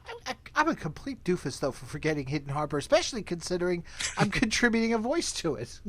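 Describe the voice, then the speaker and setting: A man's voice: high voice, very animated, quick pace, fairly bright tone. A man hosting a podcast in a chatty, casual, self-deprecating way. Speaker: podcast host.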